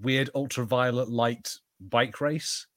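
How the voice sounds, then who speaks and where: Man, medium pitch, casual podcast banter, joking riffs, conversational. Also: fairly even intonation, takes it slowly. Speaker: podcast co-host. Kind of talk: casual watch-party banter.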